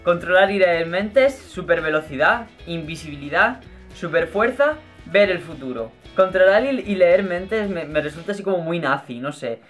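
A young man with a light Murcian accent chats playfully and slightly high-pitched, answering questions. He speaks close to the camera in his bedroom, with faint music underneath. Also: animated, moderate pace, fairly bright tone. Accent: Murcian, mostly held back on camera. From Murcia, Spain. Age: young adult. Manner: chatty, playful, gestures a lot, laughs easily. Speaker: YouTuber. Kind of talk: casual Q&A vlog. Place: bedroom, indoors.

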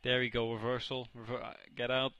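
A young man from the Netherlands with a Dutch accent, casually commentating his own video game match, excitable and often correcting himself. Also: medium pitch, a little fast, clean tone, lo-fi sound. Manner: excitable, self-correcting, reacts loudly to plays. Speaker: gaming commentator. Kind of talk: casual let's play commentary.

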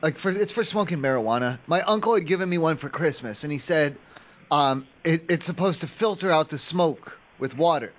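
A man's voice: a little high, a little fast. A man making an improvised prank phone call, rambling and pleading as if clueless. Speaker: prank caller. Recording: slightly dull, lo-fi call audio.

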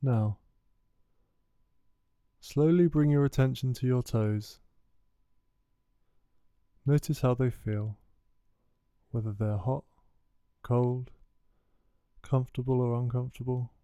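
A man guides a meditation in a very dark, mellow voice with a smooth tone. His delivery is soothing and unhurried, leaving gentle pauses between instructions. The sound is close and dry. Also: mid-range voice, fairly even intonation, no room sound. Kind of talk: guided body scan meditation.

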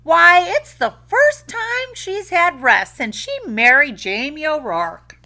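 Woman doing a high exclaiming village woman. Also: sing-song, slow pace, sharp tone, heavily acted. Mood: amused. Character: gossiping village woman, pleased.

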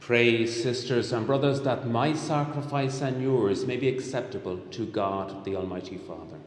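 A man in his fifties, a bishop reciting the prayers of Mass in a measured, solemn, formal way. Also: medium pitch. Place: large hospital chapel, indoors. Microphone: microphone on altar stand. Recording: echoey chapel with long reverb.

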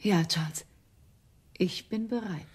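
An actress playing a schemer's obedient wife and accomplice with a soft voice in a German radio crime drama. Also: female voice, fairly low pitch, takes it slowly, slightly rough, heavily acted. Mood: expectant, compliant.

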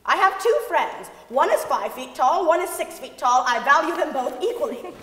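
Stern woman professor, acted by a woman, very high small voice, imperious and dry, stage reading in reverberant hall. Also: expressive, moderate pace, background noise. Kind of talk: theatrical staged reading of quotations. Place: large wooden concert hall stage. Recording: echoey concert hall.

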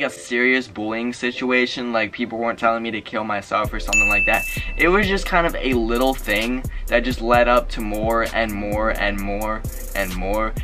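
Teen boy, 17, bright voice, rambling with fillers, webcam in a bedroom, faint music underneath. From Maryland, USA. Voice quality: fairly high voice for his age. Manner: rambling, lots of "you know" and "like" fillers. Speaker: YouTuber. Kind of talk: casual confessional vlog. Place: bedroom with game shelves, indoors. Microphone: webcam, close facing camera.

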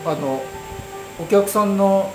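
A woman in casual, reflective conversation as an artist, halting as she thinks aloud and murmuring agreement. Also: medium pitch. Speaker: exhibiting artist. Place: small indoor cafe room. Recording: roomy sound with music behind.

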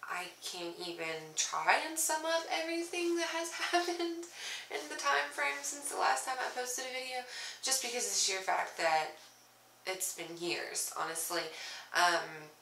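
A woman's voice: sits high, measured pace, gravelly, sharp tone, slight background noise. A young woman vlogging casually at home, sounding nasal from a cold, chatty and apologetic, with lots of "um"s and little laughs. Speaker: craft vlogger. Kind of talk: casual chat to camera. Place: small room indoors at home. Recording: roomy, slightly distant camera audio.

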